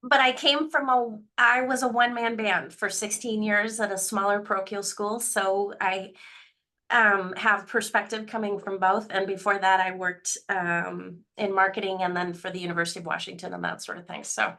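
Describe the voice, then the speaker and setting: A woman's voice: mid-range voice, level tone, normal speed. A woman who directs school advancement, speaking casually on a webinar panel with frequent ums. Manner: warm, chatty, many ums.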